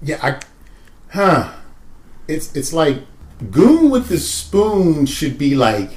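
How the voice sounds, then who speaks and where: A man's voice: fairly high pitch, very animated, slow, darkish tone. A man co-hosting a podcast, riffing and rambling in casual comedic chat. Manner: rambling, joking riffs. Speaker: podcast co-host.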